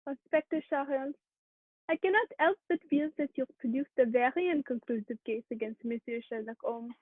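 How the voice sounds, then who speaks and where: A woman's voice: high-pitched, normal speed, no room sound. A woman playing a formal, stern French prefect of police questioning witnesses in an amateur radio play. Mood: measured, official. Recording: thin, narrow phone-like sound.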